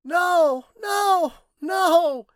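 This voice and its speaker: A man's voice: extremely high pitch, drawn-out words, pushed and bright, no echo, voice pushed up, exaggerated voice. A man voicing an anxious, devoted young woman in an audiobook story, with an earnest, pleading delivery. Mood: terrified.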